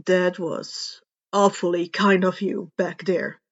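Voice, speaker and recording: Woman putting on a gruff, lowered mentor hero. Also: fairly even intonation, slow pace, exaggerated voice. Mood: gruff approval. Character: gruff, stern adult male hero mentor. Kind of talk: character voice in a read-aloud story. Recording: dull, muffled lo-fi home recording.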